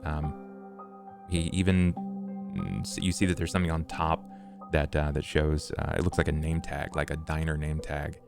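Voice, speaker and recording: A man with a gravelly voice doing improvised tabletop roleplay and narration in a measured, halting delivery. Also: very deep voice, level tone, over music. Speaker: player in a tabletop roleplay podcast.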